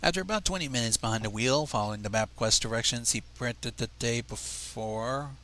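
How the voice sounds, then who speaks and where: A man's voice: medium pitch, fairly quick, a bit bright. A man reading a story aloud in a dramatic, wry manner, slipping into casual asides between narrated passages. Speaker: livestream fanfic reader.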